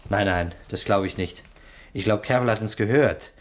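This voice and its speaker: A man playing a brisk, dry police inspector. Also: fairly low pitch, slight background noise, close to an everyday voice. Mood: investigating. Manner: crisp, commanding, dryly ironic. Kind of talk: 1960s German radio play acting.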